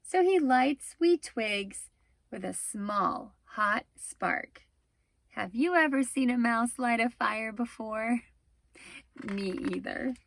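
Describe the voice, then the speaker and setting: A woman's voice: on the high side, slow pace, creaky, a bit bright, no room sound. A woman reading a picture book aloud to young children, expressively, with instructive asides to the listeners. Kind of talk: animated children's read-aloud with explanatory asides.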